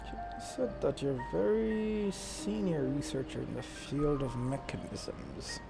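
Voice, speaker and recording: A woman making halting, murmured casual remarks during a video game. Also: low-pitched, gravelly, music in the background, exaggerated voice.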